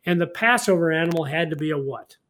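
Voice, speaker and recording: A man's voice: on the high side, expressive, fast, a bit bright. A man leading a conversational Bible study in a folksy, informal way, often putting leading questions to his partner. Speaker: host of a Bible study discussion.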